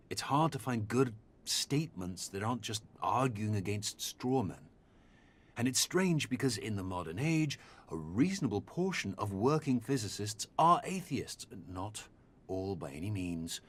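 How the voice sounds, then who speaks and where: A man playing a measured, earnest researcher who speaks articulately and deliberately in a conversational audio drama. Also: lowish voice, very animated, breathy edge. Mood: analytical. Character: measured, earnest male researcher debating philosophy.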